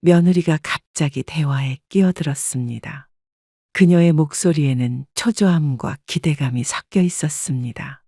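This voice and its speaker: Older woman narrator, calm measured first-person storytelling, dry close sound. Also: deep voice, no room sound.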